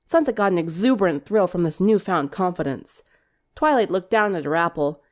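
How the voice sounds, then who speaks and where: Woman narrator for fan fiction, lively varied intonation, storytelling delivery. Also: on the low side, brisk.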